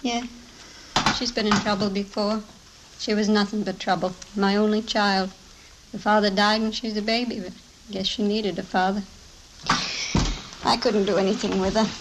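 A woman's voice: medium pitch, flat delivery, normal speed, long pauses, natural voice. An actress playing a small, gray-haired laundry owner in a 1950s radio drama, a bitter, weary mother who talks plainly and complains with resignation. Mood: ashamed, bitter. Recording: noisy vintage radio recording with clicks.

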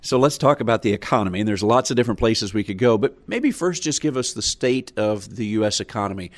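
A man hosting a farm radio program, asking questions in a measured, conversational way. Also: on the low side, lively intonation, no room sound. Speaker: host of farm radio show. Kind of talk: radio interview.

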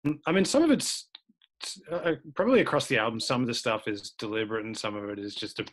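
An Australian man with a deep, narrow-ranged voice, a band member answering interview questions casually, with lots of fillers and restarts. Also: lively intonation, fast talker. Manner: hesitant, many restarts and fillers.

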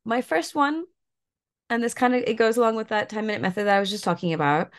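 A woman guest, a yoga and mindfulness coach, talking in a relaxed way with lots of fillers while stuffed up and a bit croaky. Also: a little high, fast talker. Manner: relaxed, many fillers like "like" and "you know". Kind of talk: casual interview talk, guiding an exercise.

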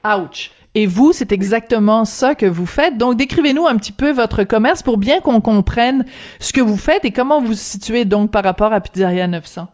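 A woman with a somewhat high voice hosts an interview. She talks in a conversational way, framing questions and restating points for a guest. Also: animated, normal speed, darkish tone. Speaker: interviewer, radio host.

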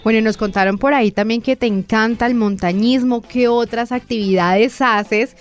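A woman interviewing a guest, friendly and quick to laugh. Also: fairly high pitch, soft background music. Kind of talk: interview questions.

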